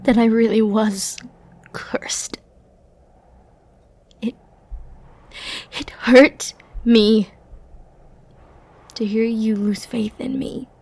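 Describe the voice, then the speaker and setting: A woman playing an ancient, flirty and dangerous banished villainess in an intimate ASMR roleplay, breathy and often near a whisper, with tender, brooding, dramatic pauses. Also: a little high, fairly even intonation, darkish tone, dry recording, pitched below the performer's own voice, exaggerated voice.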